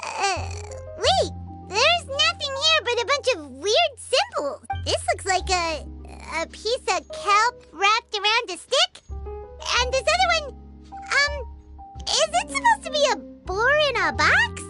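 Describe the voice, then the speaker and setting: A tiny, floating girl companion in a video game. Her voice is very high and bouncy, and she chatters excitedly about herself in the third person. Loud game music plays under her lines. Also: slow, exaggerated voice. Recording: game audio over music.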